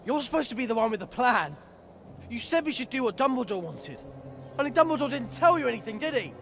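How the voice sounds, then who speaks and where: Sullen teenage wizard, very high for a male, swinging intonation, sarcastic, video game drama. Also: sing-song, quick pace, gravelly, a bit bright, soft background music, heavily acted. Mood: resentful, angry.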